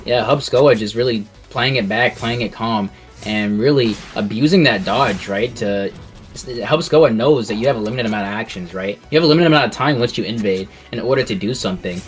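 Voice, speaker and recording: Low-pitched male game caster, conversational. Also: fairly quick, faint music behind. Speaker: esports commentator. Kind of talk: live casual game commentary.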